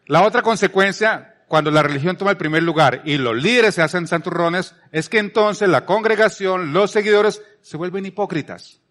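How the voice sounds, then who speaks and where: Higher-pitched Colombian man preaching conversationally. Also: normal speed, few pauses, fairly bright tone. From Palmira, Colombia. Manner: emphatic repetition, rhetorical questions. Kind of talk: conversational sermon.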